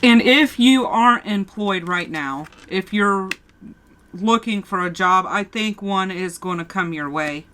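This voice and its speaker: A woman's voice: medium pitch, measured pace, a bit bright, slight background noise. A woman with a sore throat giving a casual tarot reading, talking straight to her viewers and often restarting her sentences. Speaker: tarot reader.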